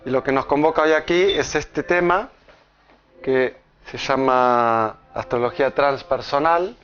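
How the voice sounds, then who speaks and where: A man's voice: mid-range voice, fairly bright tone, muffled recording. A man with an Argentine accent giving a live talk to an audience, often restarting phrases and checking in with "¿no?". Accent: Argentine Spanish. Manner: halting, with many restarts and checks of "¿no?". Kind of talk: live public lecture. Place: lecture room with an audience.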